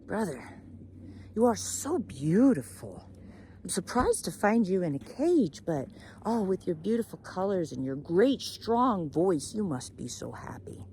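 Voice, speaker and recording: A woman's voice: fairly low pitch, big pitch swings, unhurried, dark tone, slight background noise, voice pushed up. A woman voicing a self-pitying but hopeful female raven in a story, wistful and wide-eyed, full of exclamations and questions. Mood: curious.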